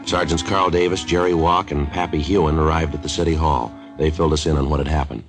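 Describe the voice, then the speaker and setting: Very deep, brisk radio police detective. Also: male voice. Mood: matter-of-fact narration. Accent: American. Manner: terse, flat, matter-of-fact. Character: male Los Angeles police detective, terse and businesslike. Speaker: narrator and lead actor. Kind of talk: 1950s radio police drama acting and narration. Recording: old radio recording with music.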